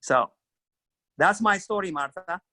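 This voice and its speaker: Ethiopian-accented man, middle-aged, earnest storyteller; bright tone, dry room. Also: high voice, lively intonation, measured pace, dry recording. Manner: earnest, storytelling, frequent "you know" and "kinda". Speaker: career mentor guest. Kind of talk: reflective career interview.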